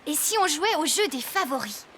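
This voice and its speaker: A woman voicing a brisk, practical young woman farmer in a French children's cartoon, with an expressive, organising manner. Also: high voice, a little hiss or hum. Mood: encouraging. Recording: loud music behind.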